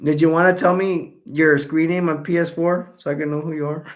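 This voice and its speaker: A man hosting a casual live stream, chatting loosely with viewers and reading their comments aloud, his voice starting to go hoarse. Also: a little high, little pitch movement, moderate pace. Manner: rambling, self-correcting, chatty. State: voice going hoarse.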